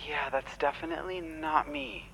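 A man's voice: fairly high pitch, big pitch swings, normal speed, creaky, sharp tone, heavily acted. A man in an audio drama playing a laid-back, sceptical food stocker with slangy talk. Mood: sceptical, puzzled. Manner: slangy, casual. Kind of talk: naturalistic audio drama acting. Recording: noisy ambient background.

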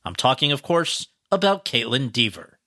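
A man's voice: medium pitch, sing-song, normal speed, sharp tone, dry recording, clean studio sound. A man hosting an awards podcast, reading a formal introduction and then asking interview questions. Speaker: podcast host.

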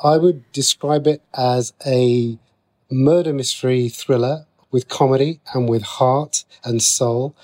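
A man's voice: medium pitch, fairly even intonation, takes it slowly, darkish tone. A man with a British accent talking as an interview guest, conversational, reflective and self-deprecating. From Slough, England. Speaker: interview guest, TV and radio writer.

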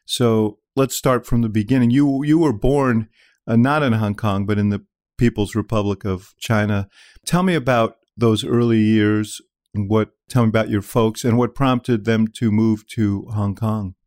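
An American man hosting an interview podcast, speaking in a measured, earnest way. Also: fairly low pitch, steady tone, darkish tone. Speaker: podcast host.

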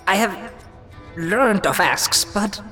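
An actor, a woman, playing a blunt, halting non-human pack creature, a terse outsider in a fantasy audio drama. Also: mid-range voice, animated, sharp tone, in a large hall, soft background music. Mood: hesitant, puzzled.

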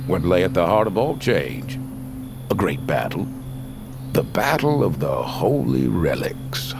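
An actor playing a stern, commanding elder of an animal tribe in a fantasy audio drama, speaking in grave, archaic phrases. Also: male voice, low voice, very animated, measured pace, gravelly, background noise, exaggerated voice. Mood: solemn.

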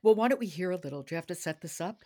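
Woman, podcast host, low-pitched voice, conversational interviewer. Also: expressive, rapid-fire, darkish tone.

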